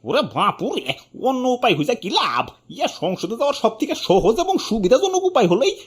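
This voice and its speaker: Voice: mid-range voice, sing-song, moderate pace, husky. A performer voicing a helpless, dramatic old cartoon grandpa, exclaiming and lamenting. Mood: exasperated. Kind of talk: Bengali comedy cartoon dubbing.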